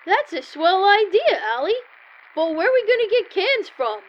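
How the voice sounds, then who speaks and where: Little boy, naive and earnest, big schemer, really high bouncy voice, old radio sketch, kinda dull sound. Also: big pitch swings, measured pace, exaggerated voice. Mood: naive, eager. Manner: earnest, innocent, matter-of-fact. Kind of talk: old-time radio comedy sketch acting.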